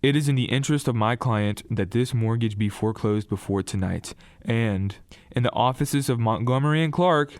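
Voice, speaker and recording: Close and dry, an actor plays an older lawyer father in a radio drama. He is stern and scheming, with a medium-pitched voice and a formal, insistent delivery. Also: moderate pace, dry recording, lightly acted.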